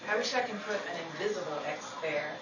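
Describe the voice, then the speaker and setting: A woman's voice: lowish voice, expressive, brisk, a loud crowd. A woman talking casually while setting up during a rehearsal. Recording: echoey room, distant mic, loud noise.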